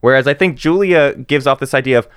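A man co-hosting a book podcast, talking casually with lots of fillers and restarts, animated and quick to laugh. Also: mid-range voice, clean tone, no room sound, clean studio sound. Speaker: podcast co-host.

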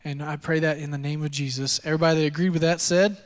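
A pastor from Texas in his thirties preaches in a loose, conversational style, joking at his own expense and leaning on fillers. His voice sits high for a man and sounds smooth and resonant. A long reverb from a church hall trails each phrase. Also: steady tone, quick pace. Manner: conversational, self-deprecating storytelling with frequent fillers. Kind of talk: sermon with personal testimony. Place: church auditorium.

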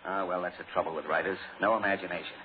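A man playing a brisk, exasperated radio director, hesitant yet bossy, in 1940s radio acting style. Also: low voice, steady tone, natural voice. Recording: scratchy, tinny 1940s radio recording.

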